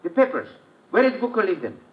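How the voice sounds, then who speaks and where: A seedy, grasping little henchman, very high and jumpy in pitch. He whines and wheedles nervously in old radio-drama style, muffled and off mic. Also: sing-song, fast talker. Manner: whining, anxious, wheedling.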